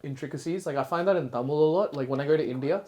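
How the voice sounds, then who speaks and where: A man's voice: on the high side, racing, warm tone. A young man, a podcast co-host chatting casually with slangy banter. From Australia. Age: young adult. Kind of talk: casual group podcast chat.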